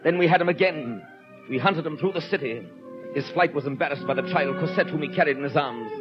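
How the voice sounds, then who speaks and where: An actor playing a stern, vengeful police inspector in a grave, declamatory 1930s radio drama style. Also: male voice, on the high side, a little fast, natural voice. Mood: agitated, frustrated. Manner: grave, declamatory, clipped pronouncements. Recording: muffled 1937 radio broadcast, music behind.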